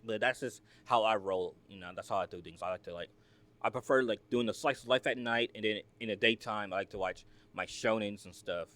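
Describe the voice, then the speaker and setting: A man's voice: medium pitch, fairly bright tone, dry recording. A man from the United States hosting a reaction video, talking casually in a rambling, filler-heavy, chatty way.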